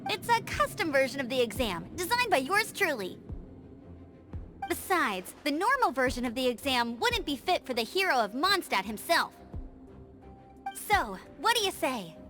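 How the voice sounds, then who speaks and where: A woman's voice: sits high, exaggerated voice. A woman voicing a peppy, eager young scout in a video game who takes charge, over game music. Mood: eager, upbeat. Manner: energetic, quick to take charge. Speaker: game character. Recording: game audio with music behind.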